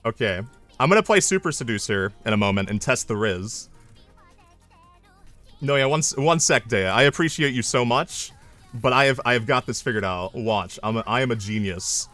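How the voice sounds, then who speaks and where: A young man chatting casually on his live stream, mocking himself and breaking into loud triumphant yells. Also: medium pitch, lively intonation, normal speed, no echo, soft background music.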